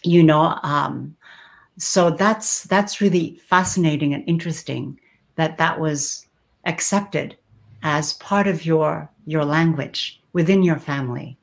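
A middle-aged woman hosts an interview over a webcam from a small room, her voice low. She asks her questions slowly and thoughtfully, working her way toward each point. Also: vocal fry. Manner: slow, thoughtful, searching questions. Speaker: host. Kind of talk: video call interview. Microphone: webcam on video call.

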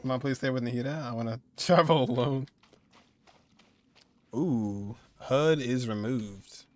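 A man's voice: medium pitch, a little slow. A man in his twenties giving casual, slangy, exclamatory live commentary on a game as a streamer. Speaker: gaming streamer. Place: indoor room, on webcam. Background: game music.

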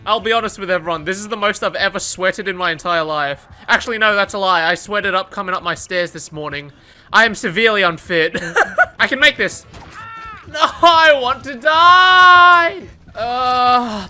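A young man with a high, very bright voice reacts to his own gameplay from a desk mic at home. He swears freely, shouts at the game and laughs, and sounds a little worn out. Game music plays faintly underneath. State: tired, says his voice is going. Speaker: gaming YouTuber. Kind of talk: live gameplay commentary.

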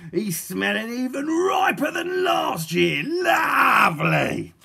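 Shouting, sneering storybook villain. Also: high-pitched, very animated, takes it slowly, creaky, pitched above the performer's own voice, full character voice. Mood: gloating. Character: gruff, nasty, scheming old male villain. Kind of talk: comic children's storybook character voice.

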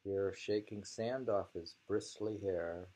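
A man in his sixties from the United States reads haiku aloud informally, musing and pausing with casual remarks. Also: low voice, fairly even intonation, dark tone. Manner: halting, musing, repeats what is read. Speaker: poetry reader. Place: small plain room indoors.

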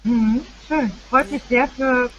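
A middle-aged woman co-hosting a casual chat from her living room on a phone handset, relaxed, with fillers and trailing sentences. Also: fairly high pitch, takes it slowly, crowd noise, poor audio. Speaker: co-host. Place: home living room, indoors.

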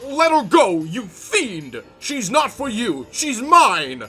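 A man's voice: very high-pitched, very animated, takes it slowly, pushed and bright, faint music behind, voice pushed up, exaggerated voice. A man voicing an alarmed, protective young newlywed husband in an earnest, melodramatic horror comic dramatization. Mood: defiant.

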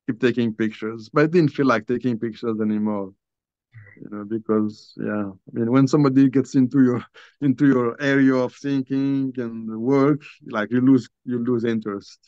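An older man from Algeria, a guest author, telling a personal story in a halting, conversational way with many restarts, recorded over a video call from a small room at home. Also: mid-range voice, fairly even intonation, darkish tone, dry recording. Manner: halting, restarts often, many you-knows. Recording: dull lo-fi video call audio.

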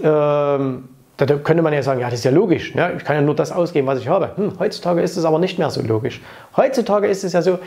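Blunt man with a gravelly voice. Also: fairly high pitch, a little mellow. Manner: blunt, opinionated, with frequent fillers and tag questions. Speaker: finance coach and channel host. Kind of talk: casual to-camera advice talk. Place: office with framed pictures, indoors. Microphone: lapel mic.

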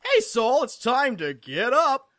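A man voicing a bossy, theatrical teenage best friend in a visual novel, scolding loudly in mock-stern tones. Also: extremely high pitch, huge pitch swings, takes it slowly, no echo, studio quality, voice pushed up, full character voice.

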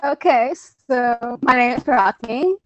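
A woman in her twenties talking haltingly on a video call. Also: a little high. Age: 20s. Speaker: panelist. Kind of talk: casual video call chat. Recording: dull muffled call audio.

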